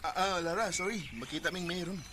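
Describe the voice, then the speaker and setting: A man's voice: high-pitched, brisk, background noise, natural voice. An actor with a Cebuano Filipino accent playing an easygoing, apologetic young man in a radio drama. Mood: patient.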